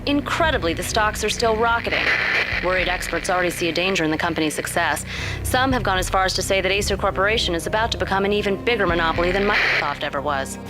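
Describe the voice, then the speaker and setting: An actress playing a TV news anchor with a crisp broadcast delivery. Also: female voice, lowish voice, expressive, fast talker, music in the background, close to an everyday voice, low-quality recording. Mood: newsy. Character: brisk female TV news anchor. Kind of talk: news report.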